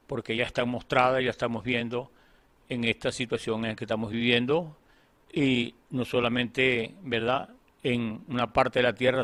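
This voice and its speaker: A man's voice: medium pitch, fairly bright tone. Earnest man preaching a sermon. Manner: earnest, with frequent "¿verdad?" fillers.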